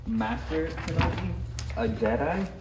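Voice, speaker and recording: A man playing a polite, halting alien cloner with a salesman's pride, in a parody table read. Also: fairly high pitch, expressive. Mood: polite, eager. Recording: echoey room, distant mic, faint noise.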